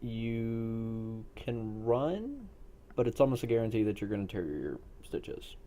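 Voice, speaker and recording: A man's voice: fairly low pitch, big pitch swings, a little slow, mellow. A man speaking casually as he runs a post-game chat for a roleplay group. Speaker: game master of an online tabletop-style roleplay group.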